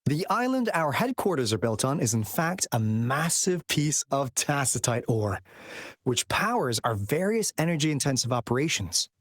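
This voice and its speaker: A man's voice: mid-range voice, very animated, normal speed, fairly bright tone, dry recording, studio quality. A man voicing a confident, casual young agent in a video game, explaining things in a conversational way. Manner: conversational, expository, breezy. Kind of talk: video game dialogue acting.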